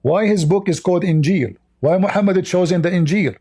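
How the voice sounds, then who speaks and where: A man's voice: high voice, brisk, mellow, husky. A man who is a non-native English speaker, debating religion in an argumentative, repetitive way and cutting in on the other speaker. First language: not English.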